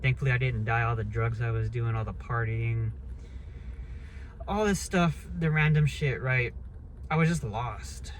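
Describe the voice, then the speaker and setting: A man in his early thirties holds forth in a loose, unscripted monologue, blunt and quick to swear as his thoughts wander and restart. His voice sits in an ordinary middle pitch, and a faint hiss runs under the recording. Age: 30s. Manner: blunt, rambling, swears freely. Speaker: vlogger. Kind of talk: casual monologue rant.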